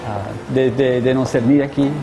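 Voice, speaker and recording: A man expert answering interview questions in a measured way, pausing on filler sounds. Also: medium pitch, steady tone, creaky, dark tone, in a large hall, soft background music, low-quality recording.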